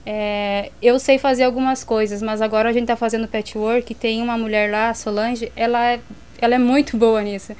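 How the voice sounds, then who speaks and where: Earnest woman, higher voice. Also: level tone, moderate pace, a little mellow, clean tone. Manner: earnest, explanatory, many fillers. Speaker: ministry worker. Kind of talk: conversational interview answers.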